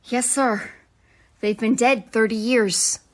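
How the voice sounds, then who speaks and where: A woman's voice: on the high side, fairly even intonation, slow. A woman playing an earnest, emotional young space lieutenant in a radio drama. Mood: happy, respectful.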